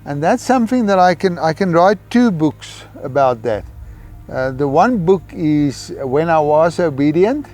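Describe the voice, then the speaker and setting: A middle-aged man shares a personal testimony in a South African Afrikaans accent. His voice sits a little higher than most men's. He speaks reflectively, pausing often as he searches for words, while soft music plays behind him. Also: very animated. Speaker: missionary giving a testimony. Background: background music.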